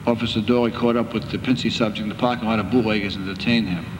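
An older man presiding as a judge in a courtroom, speaking in a measured, stern, lecturing way. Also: lowish voice, steady tone. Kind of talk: courtroom proceedings. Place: municipal courtroom. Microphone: bench microphone. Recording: reverberant courtroom, background noise.

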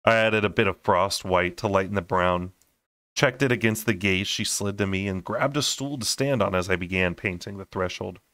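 A young man reads a story aloud. His voice sits a little low. He narrates expressively in audiobook style, and the recording is dry. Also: moderate pace, a bit bright, dry recording. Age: young adult. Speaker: storyteller reading a novel aloud.

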